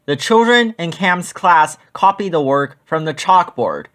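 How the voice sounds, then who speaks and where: Male narrator, children's read-aloud, fairly high voice, lively intonation. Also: a little slow.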